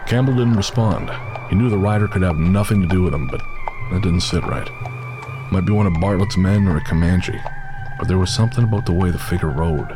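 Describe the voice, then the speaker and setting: A man narrating a western horror story in a measured, steady way. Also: low voice, music in the background.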